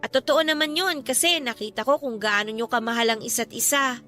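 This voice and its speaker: A woman's voice: fairly high pitch, a little fast, pushed and bright, soft background music. A woman playing a bubbly, supportive younger sister in a Filipino radio drama, with a Filipino accent. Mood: tender, thankful.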